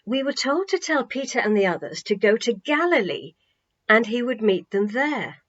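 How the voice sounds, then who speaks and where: A woman's voice: fairly high pitch, very animated, a bit bright. Storybook role: overjoyed devout woman witness, played by a female narrator, rambling and breathless; slightly dull recording. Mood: eager, assured. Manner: breathless, gushing, halting delivery.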